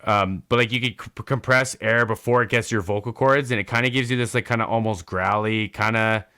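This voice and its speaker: Man, podcast host, laid-back, technical talk, low-ish voice, bright edge. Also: steady tone, brisk, clear, resonant tone. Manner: explains audio and vocal technique, laid-back. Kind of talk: casual chat.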